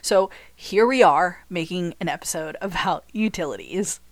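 Woman, 30s, podcast host, slightly low voice, nasal from a cold, chatty, jokey asides. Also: normal speed. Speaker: podcast host and money coach. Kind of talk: solo podcast talk.

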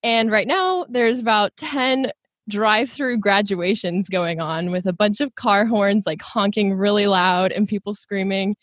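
A young woman in her twenties from Texas hosting a podcast from a home closet over a video call, upbeat and quick to laugh as she asks her questions. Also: medium pitch, fairly bright tone, no echo. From Houston, Texas. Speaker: podcast host. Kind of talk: scripted-sounding interview questions.

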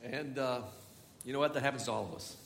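A man's voice: mid-range voice, fast, vocal fry, fairly bright tone, a little hiss or hum. A man preaching a sermon as a pastor, chatty and joking, with frequent restarts. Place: large church hall. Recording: echoey church hall from far off.

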